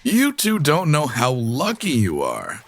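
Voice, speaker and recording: An actor playing a sly, menacing crook with theatrical hardboiled patter in a comedy adventure audio drama. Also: male voice, medium pitch, huge pitch swings, takes it slowly, very noisy, heavily acted. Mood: pushy.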